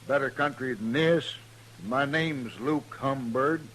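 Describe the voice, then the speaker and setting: A man's voice: medium pitch, a bit bright. An actor playing a stubborn, menacing backwoods man with a rustic Ozark accent in a radio Western. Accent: Southern US mountain, Ozark. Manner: blunt, slow-witted, rustic dialect. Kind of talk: old-time radio Western acting. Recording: noisy old radio recording.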